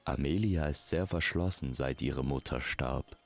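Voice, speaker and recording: Man as middle-aged king, low voice, authoritative, fatherly, admonishing, storybook audio play. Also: a little slow, creaky, soft background music, heavily acted. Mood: advising.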